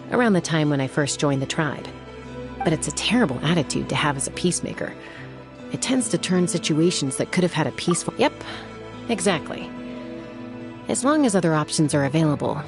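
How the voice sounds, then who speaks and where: A woman playing a composed young warrior and protective peacemaker in a video game, with a calm, measured, gently firm manner. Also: low voice.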